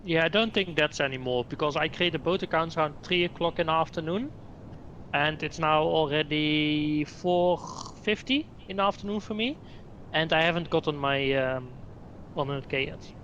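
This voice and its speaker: A man's voice: a little high, normal speed, a bit bright, dull audio, a little hiss or hum. A man with a Dutch accent chatting casually about a game, thinking aloud with many hesitations. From Netherlands. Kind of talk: casual gaming chat.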